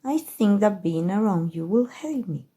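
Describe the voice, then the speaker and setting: A haughty evil queen, played by a woman with a Mexican accent, speaks at a leisurely pace in a dark, breathy voice. She muses on her schemes with playful condescension, as if to a single listener in an ASMR roleplay. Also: mid-range voice, expressive. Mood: smug, coaxing. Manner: slow, measured, musing delivery addressed to a listener.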